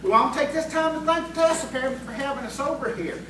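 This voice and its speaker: A woman playing an eager, folksy townsman in audio theatre, with a hurried, sociable manner. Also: high-pitched, lively intonation. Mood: hearty. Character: brisk, folksy, eager male townsman delivering invitations. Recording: very echoey, distant, noisy room.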